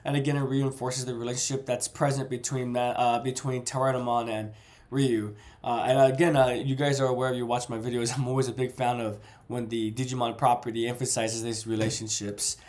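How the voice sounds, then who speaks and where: A man's voice: medium pitch, flat delivery, fairly quick, a little mellow. Congested man discussing a novel. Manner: rambling, self-correcting. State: congested, nasal from a cold. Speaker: book reviewer. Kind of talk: casual chapter discussion vlog.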